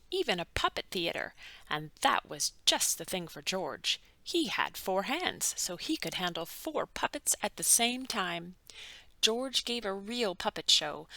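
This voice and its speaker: A woman narrating a children's bedtime story with animated, dramatic emphasis and drawn-out words. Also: mid-range voice, no echo. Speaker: children's book read-aloud narrator.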